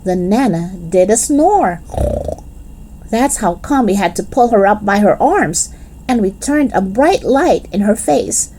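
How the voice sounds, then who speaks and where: Expressive woman reading stories, slow and mellow. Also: medium pitch, poor audio. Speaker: children's book reader. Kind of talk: expressive scripted storybook narration.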